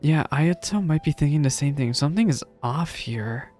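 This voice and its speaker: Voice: mid-range voice, animated, moderate pace, darkish tone. A game streamer giving casual live commentary, musing aloud in a doubtful way. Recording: game music behind voice.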